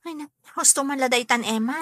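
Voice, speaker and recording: A woman's voice: high voice, a little fast, fairly bright tone, no room sound, studio quality. A woman playing a bashful, flustered woman in an Ilocano radio comedy, protesting expressively.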